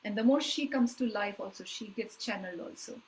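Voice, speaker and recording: A woman leading a live online spiritual workshop, talking in an earnest, emphatic way with slow, deliberate phrasing that often trails off softly. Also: medium pitch, poor audio.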